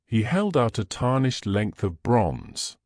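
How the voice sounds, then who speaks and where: A man's voice: mid-range voice, sing-song, takes it slowly, darkish tone, no echo, studio quality. A man narrating scripted audio fiction in a storyteller's style.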